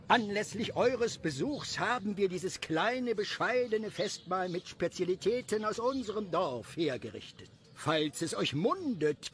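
Man playing a high-voiced, fussy village leader. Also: fairly bright tone, full character voice. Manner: ceremonious, hesitant, eager to please.

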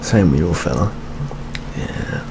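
Man acting out a low, gravelly, weary British detective. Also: heavily acted. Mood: wry, weary. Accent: British English. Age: 20s. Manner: hushed, world-weary, slow and deliberate. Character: weary, jaded British male police detective. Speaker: ASMR roleplay performer. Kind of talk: ASMR detective roleplay. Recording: steady rain ambience noise. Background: rain sounds.

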